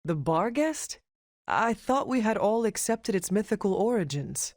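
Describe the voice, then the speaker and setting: A man's voice: high-pitched, very animated, a little slow, heavily acted. A man playing a solemn, resolute young hunter in a video game cutscene, with formal, stately phrasing. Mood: firm. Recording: loud game music behind.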